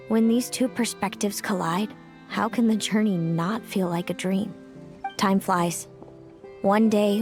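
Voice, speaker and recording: A composed young woman played by a woman in a video game, with a medium pitch. She is gentle, solemn and quietly sorrowful, speaking reflectively over music. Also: close to an everyday voice. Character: Selena, composed, sorrowful long-lived sister, a young woman.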